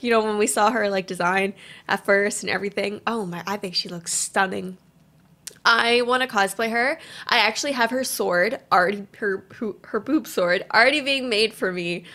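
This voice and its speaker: A young woman giving casual, gushing and expressive reaction commentary as a gaming video host, recorded on a studio mic in a small home room. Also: medium pitch. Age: young adult. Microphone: boom-arm studio mic at desk.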